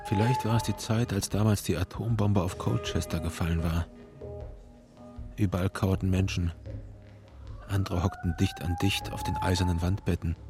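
Low, widely varied brooding man. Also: huge pitch swings, moderate pace, heavily acted. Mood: reflective. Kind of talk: radio play acting. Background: music.

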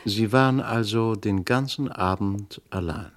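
An actor playing a probing, sceptical police inspector in a vintage crime radio play, with a dry, clipped way of talking. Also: male voice, fairly low pitch, unhurried, natural voice.

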